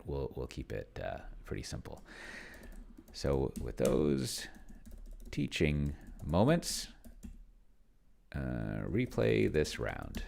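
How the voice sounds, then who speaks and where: Male gaming host, very low register with heavy fry; casual, musing tutorial commentary, halting delivery. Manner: halting and musing, thinks aloud while typing. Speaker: host playing a tabletop roleplaying game with an AI game master.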